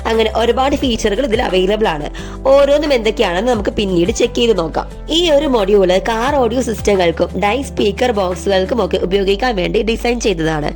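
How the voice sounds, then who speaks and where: A woman's voice: medium pitch, fast talker, darkish tone, faint music behind. A woman presenting a scripted product review as narration.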